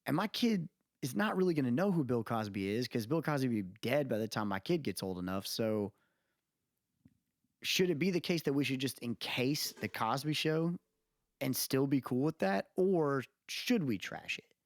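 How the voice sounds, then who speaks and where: A man's voice: mid-range voice, sing-song, moderate pace, no echo. Man, podcast co-host, mid-range voice, conversational and emphatic, wandering delivery, very dry sound. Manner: rambling, emphatic, often checks with listener "you know what I mean". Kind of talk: casual opinionated podcast chat. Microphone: close mic on remote podcast call.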